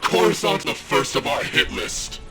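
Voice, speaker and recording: A man's voice: unhurried, lightly acted. An actor playing a sneering, boastful adult male droid villain in a fan audio drama, mocking and threatening. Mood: taunting. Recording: loud music underneath.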